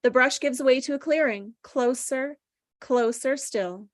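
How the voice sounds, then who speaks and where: High-voiced woman in a dry, close recording. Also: unhurried, no room sound. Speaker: table read participant reading action descriptions. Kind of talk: casual chat and scripted narration.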